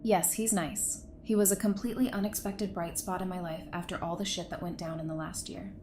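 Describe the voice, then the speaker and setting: A woman's voice: fairly low pitch, steady tone, a little fast, dark tone, lightly acted. An actress playing a guarded, no-nonsense woman doctor in a post-apocalyptic audio drama, speaking in a firm, dry, matter-of-fact way. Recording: faint background noise.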